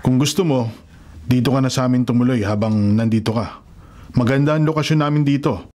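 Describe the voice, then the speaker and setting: A man acts a devout Filipino carpenter and grandfather in a radio drama. He is kindly and hospitable, speaking earnestly in a medium-pitched voice. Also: a little fast, warm tone, natural voice. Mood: warm, hospitable.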